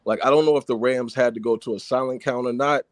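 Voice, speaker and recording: A man calling in to a sports show, chatting in a relaxed way. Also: medium pitch, fast, dry recording. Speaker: caller. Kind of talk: casual sports talk.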